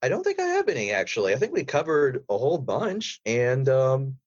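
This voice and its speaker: Male voice actor as chat guest, husky, expressive pitch, slightly dull dry sound. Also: fairly quick, no echo. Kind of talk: casual chat.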